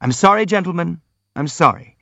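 A man narrating plays a sincere, apologetic prince from a novel. He is frank and courteous, hurrying to smooth things over in a raised pitch, with a slightly muffled sound. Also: expressive, a bit bright, no room sound. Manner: earnest, hurried, polite pleading. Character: earnest, apologetic, frank young prince, anxious to placate. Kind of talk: audiobook character acting.

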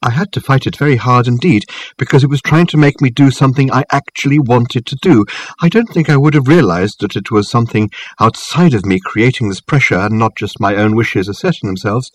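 A man voicing an eccentric, amiable elderly academic in an audiobook, rambling and halting with many hesitant ums. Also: mid-range voice, natural voice. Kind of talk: audiobook character acting.

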